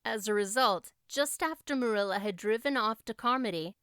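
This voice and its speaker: A woman reading an audiobook as narrator, with measured, expressive storybook delivery. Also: a little high, clean tone, dry recording, clean studio sound.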